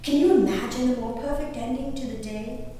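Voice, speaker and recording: A woman performing a genteel, impassioned colonial-era lady diarist on stage, declaiming her journal with deliberate dramatic pauses. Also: high-pitched, warm tone, natural voice. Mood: wonder, excitement. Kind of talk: theatrical dramatic reading of historical diaries. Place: live stage performance in a reverberant hall. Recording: very echoey hall from far off, noisy.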